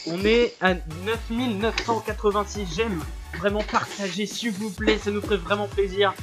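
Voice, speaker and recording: Playful high-voiced man reading comments. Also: fairly even intonation, normal speed, over music. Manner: laughing, playful, reads viewer comments aloud. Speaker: fan radio host. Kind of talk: casual live-stream chat reading comments.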